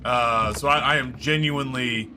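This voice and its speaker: A hoarse man chatting casually with his viewers while streaming a game, thanking supporters and cursing at setbacks. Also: mid-range voice, normal speed, over music. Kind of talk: live gameplay commentary.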